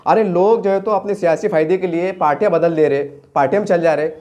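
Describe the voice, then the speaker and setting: This is a man with a distinctly high voice and a Deccani Urdu-Hindi accent of Maharashtra. He talks as a local news commentator, unscripted and impassioned. He builds his argument with rhetorical questions and repeats key phrases for emphasis. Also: quick pace, mellow. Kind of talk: impassioned off-the-cuff political commentary.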